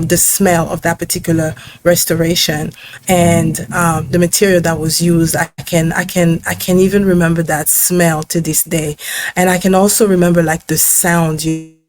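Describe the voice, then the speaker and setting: A middle-aged woman from the Democratic Republic of Congo, a dentist telling her own story in a thoughtful, halting way with many fillers and restarts. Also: on the low side, a little mellow. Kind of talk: personal story to camera.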